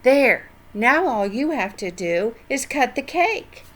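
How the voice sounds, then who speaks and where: Storybook role: excitable, cheeky young boy, high and unhurried, played by a woman reader. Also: exaggerated voice. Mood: proud. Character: eager, cheerful young mischievous boy. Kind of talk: children's storybook character voice.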